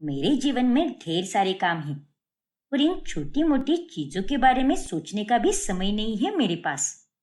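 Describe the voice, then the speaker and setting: Woman voicing a haughty, taunting older mother-in-law, very animated. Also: medium pitch, unhurried. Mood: cold, dismissive. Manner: taunting, indignant.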